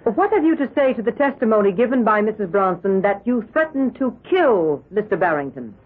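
On a muffled 1970s radio recording, a sceptical female prosecutor. Her husky voice swings widely as she presses every question with pointed insistence. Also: mid-range voice, sing-song, a little fast. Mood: sceptical, probing. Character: stern, sceptical female prosecutor cross-examining a witness. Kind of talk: 1970s radio drama acting.

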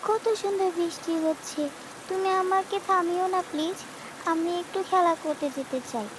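A woman's voice: very high-pitched, moderate pace, background noise, pitched above the performer's own voice. A woman voicing a stubborn young village girl in a cartoon, with a childlike, coaxing way of talking. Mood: coaxing, eager. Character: stubborn, pleading young village girl who loves rain. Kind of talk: cartoon voice acting. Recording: rain sounds over voice.

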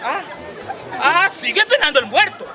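A man performing a loud, playful street comedy sketch outdoors, wailing and bickering. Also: very high voice, big pitch swings, normal speed. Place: outdoor plaza before a large seated crowd. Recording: outdoor crowd noise and music.

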